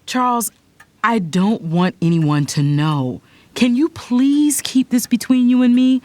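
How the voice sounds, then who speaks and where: A woman's voice: fairly high pitch, animated, takes it slowly, warm tone, dry recording. An actress playing a flustered pregnant woman lawyer who deflects, in a confiding, dramatic audio drama style. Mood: guarded, pleading. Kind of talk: first-person audio drama narration and dialogue.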